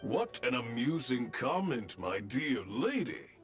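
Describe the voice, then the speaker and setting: A man's voice: mid-range voice, very animated, slow, faint music behind. An actor voicing a grandiose, courteous game spirit king with a pompous, formal, theatrical manner. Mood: amused, haughty. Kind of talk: game voice acting.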